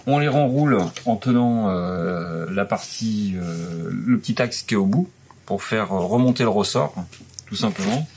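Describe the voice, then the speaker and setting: French guy in a big workshop, low voice, lots of euh, casual how-to. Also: animated, measured pace, darkish tone, slight background noise. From France. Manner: hesitant, many "euh" and restarts. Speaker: vlogger explaining a repair. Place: large indoor workshop.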